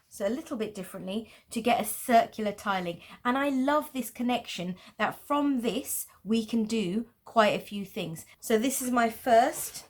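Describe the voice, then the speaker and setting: A woman with a Pakistani English accent, patiently explaining geometric drawing in a tutorial. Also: a little high. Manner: patient, explanatory, with light laughs. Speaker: art teacher.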